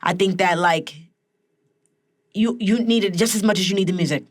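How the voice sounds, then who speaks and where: Raspy young woman, candid and slangy. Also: medium pitch, normal speed. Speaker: guest musician and rapper. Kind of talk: casual interview chat.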